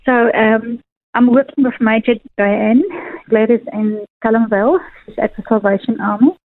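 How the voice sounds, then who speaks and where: A woman's voice: fairly high pitch, steady tone, moderate pace, no echo. A woman from South Africa whose first language is Afrikaans, speaking earnestly as a guest author with many fillers. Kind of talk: phone interview. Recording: tinny phone line, dry.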